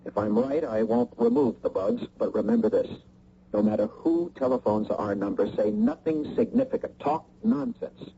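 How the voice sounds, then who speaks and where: An actor playing a cool, guarded young American husband who secretly works as a counter-spy in a radio drama. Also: male voice, high-pitched, huge pitch swings, normal speed, vocal fry, warm tone, exaggerated voice. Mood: grave, wary. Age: young adult. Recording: dull, lightly noisy old radio recording.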